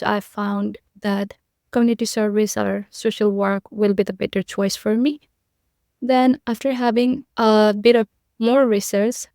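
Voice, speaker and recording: A woman's voice: medium pitch, gravelly, darkish tone, clear, resonant tone, no echo, clean studio sound. A young woman from Nepal, a podcast guest, giving earnest, repetitive conversational answers with frequent fillers.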